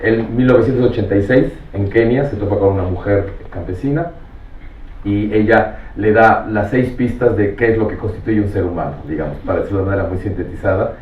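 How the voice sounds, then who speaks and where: Low-voiced male moderator in echoey room. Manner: halting, circles back to rephrase. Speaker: panel moderator. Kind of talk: spontaneous round-table moderation. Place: roomy panel venue. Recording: echoey, distant mic, dull, faintly noisy.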